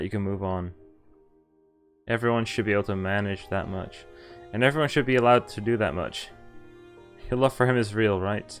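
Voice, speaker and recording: Guy streamer reading visual novel lines out loud, lowish voice, trips on words sometimes, music behind. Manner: stumbles over words while reading. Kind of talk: read-aloud story narration. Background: game music.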